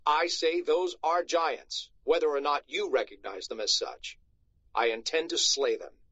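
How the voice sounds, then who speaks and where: A man voicing a grandiose, deluded gentleman knight in a storybook, declaiming pompously, on a slightly muffled recording. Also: fairly high pitch, a little slow, dry recording. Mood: imperious. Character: grandiose, deluded old-fashioned Spanish knight, lean gentleman. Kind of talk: storybook read-aloud.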